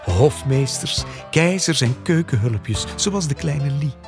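A man narrating a scripted fairy tale as a storyteller, with a husky, breathy voice. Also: mid-range voice, lively intonation, takes it slowly. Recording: dry, close, faint music behind.

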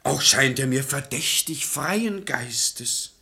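A man's voice: mid-range voice, lively intonation, slow pace, gravelly, bright, forceful tone, exaggerated voice. An actor playing a stubborn, irascible, domineering father in a theatrical radio play in verse, declaiming with pompous emphasis. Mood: earnest, persuading.

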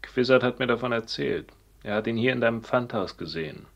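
An actor playing a cold, persuasive schemer in a German radio play, speaking with controlled, deliberate insistence. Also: male voice, mid-range voice, vocal fry, dull audio. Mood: coolly insistent. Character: cold, scheming, persuasive man planning a murder.

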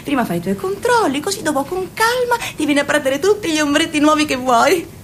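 A woman's voice: high voice, sing-song, brisk, noisy background. An actress playing Amanda, a fussy, chatty mother and saleswoman who puts on a cheerful manner, in a radio drama. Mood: phone chatter. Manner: chatty, fussing, falsely cheerful on the phone.

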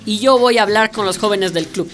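A woman's voice: mid-range voice, brisk, soft background music, natural voice. A woman playing an eager, polite eighteen-year-old youth activist in a radio drama. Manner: earnest, polite.

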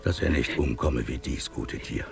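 Very deep, gravelly pleading man. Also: a little mellow, faint music behind. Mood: humble, urgent pleading. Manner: deferential, halting, imploring. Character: tall, strangely dressed animal keeper, humble and pleading. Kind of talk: mid-century German literary radio drama acting.

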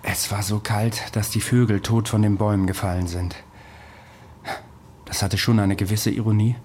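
A man's voice: lowish voice, level tone, moderate pace, a little mellow, slight background noise, natural voice. An actor in a radio play playing an earnest, haunted man with a dryly ironic, insistent manner. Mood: wry, grim. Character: intense, wry, haunted man claiming to be Napoleon's veteran.

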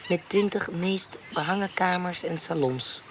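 A woman's voice: on the low side, a little slow. An actress playing a vengeful, commanding woman adventurer and schemer in a radio drama. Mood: brisk, self-assured. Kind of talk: Dutch radio drama acting. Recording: noisy radio drama with background ambience.

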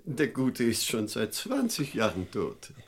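An actor playing a courteous, fussy gentleman professor in an old German radio crime play, talking politely and with animation. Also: medium pitch, huge pitch swings, unhurried, husky, full character voice. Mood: explaining, mild.

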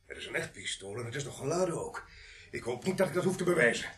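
A man's voice: medium pitch, sing-song, fast talker, a bit bright. An actor in a Dutch radio play playing a menacing gunman who commands with sardonic courtesy. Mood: threatening, mocking. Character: menacing gunman holding policemen hostage, mockingly polite.

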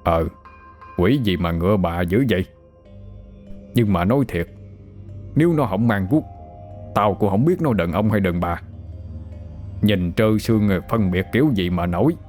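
A man's voice: deep voice, animated, a little slow, long pauses, a little mellow, soft background music. A man doing a stammering, frightened old man. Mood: scared gossip. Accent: Southern Vietnamese. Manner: whispering, stammering, gossipy.

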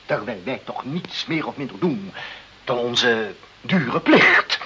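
A man's voice: medium pitch, expressive, slow pace, pushed and bright. A man acting in a radio play as a pompous, peevish, muddled director of a government office, with a blustering bureaucratic manner. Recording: dull, hissy old radio play recording.

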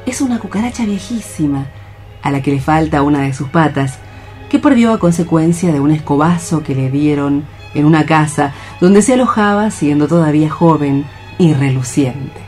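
A narrator reading scripted literary stage descriptions for radio theatre in a measured, declamatory way. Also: medium pitch, a little mellow. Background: music.

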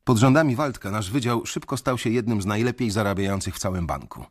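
A young man narrating a radio drama in the first person, measured and brooding. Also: lowish voice. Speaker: narrator and main character.

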